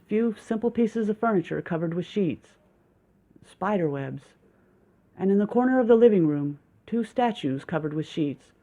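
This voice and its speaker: Brief: male storyteller, wry first-person narration, higher pitch, muffled lo-fi sound. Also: warm tone. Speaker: audiobook narrator. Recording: dull lo-fi recording.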